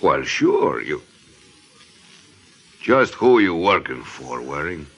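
An actor playing a smooth, menacing gangster with a mocking manner in a radio detective drama. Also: male voice, very deep voice, big pitch swings, slow pace, muffled recording, exaggerated voice. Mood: wary. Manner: mocking, falsely genial, broken grammar.

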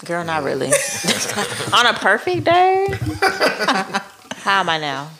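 A woman in casual podcast banter, playful and quick to laugh. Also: medium pitch, huge pitch swings. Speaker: podcast guest. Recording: noisy background.

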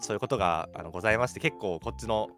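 A young man co-hosting a casual radio chat, talking in an animated, joking way. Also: on the low side, very fast. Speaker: radio show host, audio drama creator. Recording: background music under speech. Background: music.

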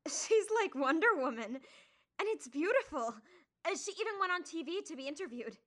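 A woman's voice: very high-pitched, lively intonation, moderate pace. A woman playing an earnest young girl who acts and narrates in an audio play, with a youthful voice. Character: Kitty, earnest young girl, Anna's friend.

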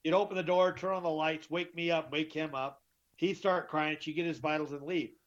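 A middle-aged man with a deeper voice, telling his story as an interview guest, emphatic and often repeating himself. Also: steady tone, brisk. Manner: emphatic, repeats and restarts words. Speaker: guest, author. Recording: dull lo-fi sound.

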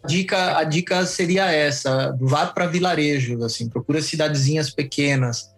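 Relaxed man telling stories. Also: a little high, moderate pace, soft background music. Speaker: guest. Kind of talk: casual storytelling in interview.

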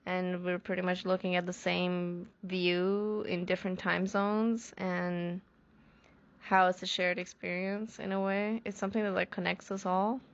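Slow, gravelly-voiced Iranian-born woman. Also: on the low side, level tone, muffled recording. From Iran. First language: Farsi. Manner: thoughtful, searching for words, many restarts. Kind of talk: reflective personal monologue.

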